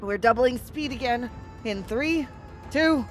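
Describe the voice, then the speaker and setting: A woman acting an urgent, high-voiced spaceship pilot. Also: slow pace. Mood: urgent, desperate. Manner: urgent, commanding, swearing. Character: determined, exhausted woman survivor piloting an alien spacecraft. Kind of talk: dramatic sci-fi audio drama acting. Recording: dramatic music behind the voice. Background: music.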